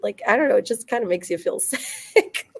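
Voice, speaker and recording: A woman's voice: a little high, huge pitch swings, moderate pace, breathy edge. A woman speaking as a panel guest in a casual debate, in a hesitant, loosely rambling way. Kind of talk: casual debate about horror films.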